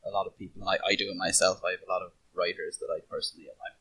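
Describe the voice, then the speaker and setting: A man with a low, slightly rough voice talks casually on a podcast panel. His tone has a forceful, bright edge. He speaks haltingly, doubling back on words as he works out his point. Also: little pitch movement, poor audio.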